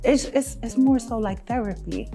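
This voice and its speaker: A woman from Jamaica, giving casual, animated and emphatic interview answers as a music artist. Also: on the high side, normal speed. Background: background music.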